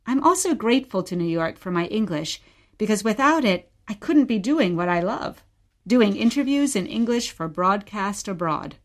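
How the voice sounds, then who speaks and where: A woman's voice: mid-range voice, expressive, moderate pace, close to an everyday voice. A woman playing a wistful mother reminiscing about exile and telling her story simply in a radio play. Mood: reflective. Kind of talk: short radio play dialogue, bedtime storytelling.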